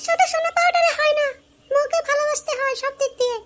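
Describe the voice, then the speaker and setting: A performer voicing a brash, defiant young village wife, scolding and whining in a shrill, exaggerated comic Bengali drama style. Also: steady tone, fast, a bit bright, a little hiss or hum. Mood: scornful.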